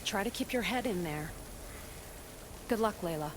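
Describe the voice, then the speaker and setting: A woman's voice: mid-range voice, lively intonation, normal speed, close to an everyday voice. An actress playing a caring but anxious woman doctor, earnest and reproving, in a video game cutscene. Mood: concerned. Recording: background noise, game ambience.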